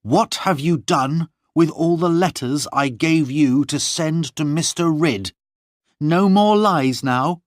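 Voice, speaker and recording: Male reader voicing a lovestruck young noblewoman, high and heartfelt, dry close sound. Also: animated, slow, no echo. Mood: urgent. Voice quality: raised, emotional delivery. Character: young noblewoman, tender, passionate, pleading.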